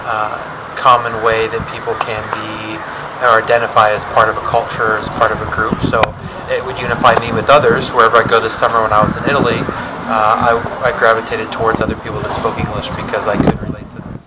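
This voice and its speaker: A man's voice: fairly low pitch, little pitch movement, fairly quick. A young American man answering interview questions hesitantly, with a lot of fillers. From United States. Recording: loud background noise.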